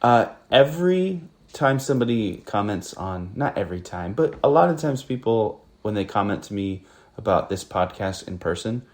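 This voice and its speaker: A man's voice: on the low side, sing-song, moderate pace, a little mellow. A man from northern Ohio with a General American accent, hosting a casual podcast conversation and talking in a hesitant way, with many fillers and restarts. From northern Ohio, USA. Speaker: podcast host.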